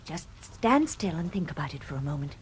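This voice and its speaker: A woman's voice: low-pitched, big pitch swings, a little fast, slight background noise. A woman playing an assured, clever lady in a radio drama, explaining insistently and confidently.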